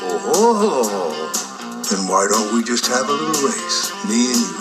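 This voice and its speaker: A man playing a gruff, stern old race car who judges, blunt and folksy in a storybook recording. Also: medium pitch, big pitch swings, takes it slowly. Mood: scornful. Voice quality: gravelly. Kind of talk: storybook character acting. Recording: loud music behind the voice.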